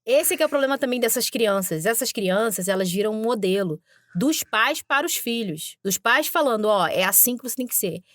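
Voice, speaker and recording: A woman's voice: on the high side, lively intonation, fairly quick, fairly bright tone, dry recording, clean studio sound. A woman hosting a podcast, giving sarcastic, emphatic commentary on video clips she plays. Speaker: podcast host.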